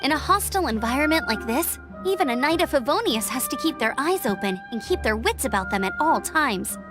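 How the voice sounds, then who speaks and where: An actress playing a cheerful, earnest young female scout knight in a fantasy game, chirpy, expressive and informal. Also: high voice, fairly quick. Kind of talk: fantasy video game dialogue. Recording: game audio with clear background music.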